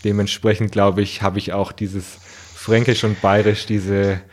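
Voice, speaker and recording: A man's voice: lowish voice, level tone, unhurried. A man from southern Germany talking casually and conversationally as the host of a podcast interview. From Nuremberg, southern Germany.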